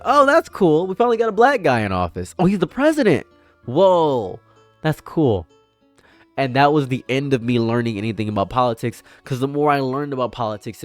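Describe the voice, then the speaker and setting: An American man of around thirty giving casual, impassioned political commentary in an emphatic, conversational way, often repeating himself and checking in with the listener. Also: medium pitch. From United States (Detroit and Toledo). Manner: emphatic, conversational, repeats phrases and tags them with "right?".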